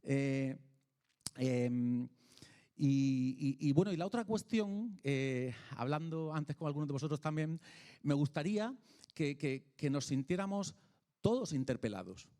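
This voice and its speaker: A man with a Peninsular Spanish accent giving an informal public lecture in a conversational, halting way, often checking in with short tag questions. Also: a little high, lively intonation, mellow. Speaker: speaker, philosopher of science and author.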